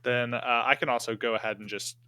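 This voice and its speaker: Male tabletop player, medium pitch, bright forward tone, casual conversation. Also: fairly even intonation, a little fast, clean tone. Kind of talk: casual chat.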